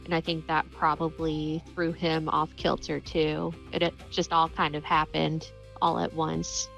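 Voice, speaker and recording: A woman's voice: deep voice, monotone, a little slow, creaky, fairly bright tone, faint music behind. A woman playing a tabletop game, steadily describing what her character does. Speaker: player. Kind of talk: casual tabletop play. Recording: dull lo-fi recording.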